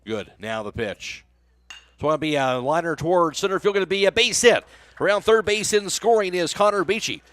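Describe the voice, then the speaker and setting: A man's voice: sits high, expressive, normal speed, fairly bright tone, dry recording. A man doing live play-by-play baseball commentary for a radio broadcast. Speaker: play-by-play announcer.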